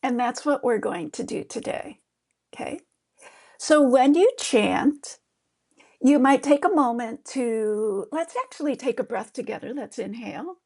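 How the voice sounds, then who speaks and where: A woman with a high voice, a middle-aged yoga therapist gently guiding a mantra meditation lesson with easy, encouraging talk. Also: a little slow. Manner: gentle, encouraging, with fillers and restarts.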